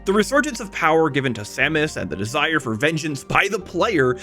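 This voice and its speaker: A slightly high-voiced man narrates a scripted video essay with a very lively, swinging intonation. He keeps it conversational and drops in wry asides, with music playing underneath. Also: fairly quick.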